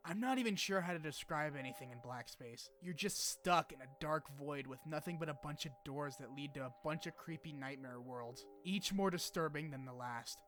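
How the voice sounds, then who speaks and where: A man's voice: fairly high pitch, normal speed, few pauses, a bit bright. A man narrating a scripted video essay about a game in an informal, sweary, opinionated way. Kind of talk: scripted game retrospective narration with casual asides. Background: background music.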